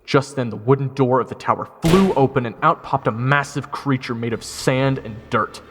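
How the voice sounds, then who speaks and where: A man's voice: medium pitch, moderate pace, noisy background, natural voice. A man playing a wry soldier who narrates his own fantasy story in a matter-of-fact way. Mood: tense. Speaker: narrator of audio roleplay. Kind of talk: first-person scripted narration.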